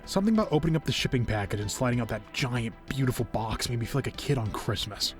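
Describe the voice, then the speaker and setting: A man hosting a video, reading a scripted review in an enthusiastic, expressive and earnest way. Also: medium pitch, fast talker. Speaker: video host, toy collector reviewing figures. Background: faint music.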